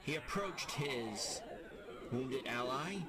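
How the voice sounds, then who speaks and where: Male narrator, dramatic scripted storytelling, unhurried pace, lively pitch swings, very noisy recording. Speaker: narrator of a fan audio drama.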